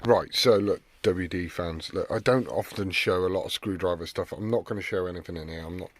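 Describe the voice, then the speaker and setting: Man chatting casually over loud-ish background noise. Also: sits low, brisk. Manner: informal, sweary, muttering to himself while working. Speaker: hobbyist video host. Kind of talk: casual hands-on repair vlog chat.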